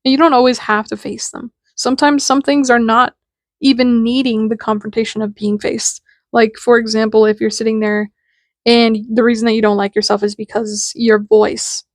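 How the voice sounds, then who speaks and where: A young woman in her twenties talks through her thoughts in a loose, candid way, swearing now and then. Her voice is nasal and sits a bit high. The sound is dry, with no room echo. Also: level tone, moderate pace. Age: 20s. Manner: candid, rambling, swears casually. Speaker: podcast host. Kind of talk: casual reflective monologue.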